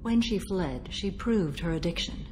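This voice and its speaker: An actress playing a stoic, centuries-old alien warrior woman bound by a code in a video game, speaking in a measured, formal, solemn manner. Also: on the low side, lively intonation, noisy background. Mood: solemn, stern. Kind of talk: video game dialogue acting.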